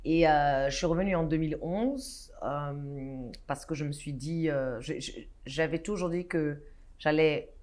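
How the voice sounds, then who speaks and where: Animated woman guest from Guinea. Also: low voice, a little slow. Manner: animated, with many restarts and hesitations. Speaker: interview guest, entrepreneur. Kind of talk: casual interview talk. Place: studio set.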